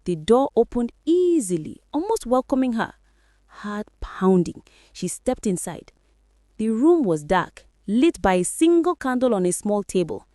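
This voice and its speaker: Woman reading a spooky folktale, lots of pitch swings, dry close mic. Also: unhurried, dry recording. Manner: dramatic, expressive storytelling. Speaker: storyteller. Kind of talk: scripted folktale narration.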